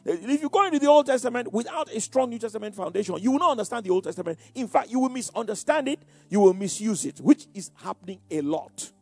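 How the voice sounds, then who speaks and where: A man's voice: high voice, expressive, fast talker, hardly any pauses, darkish tone, slightly rough, faint music behind. An older man with a Nigerian Yoruba accent, preaching and recounting his past, who often repeats words and says "you know". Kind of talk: sermon-like personal testimony.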